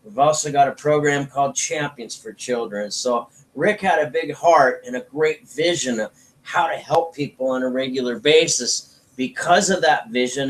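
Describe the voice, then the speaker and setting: A man's voice: medium pitch, low-quality recording. A man giving an informal sales presentation as a network marketing promoter, talking in a folksy, persuasive way.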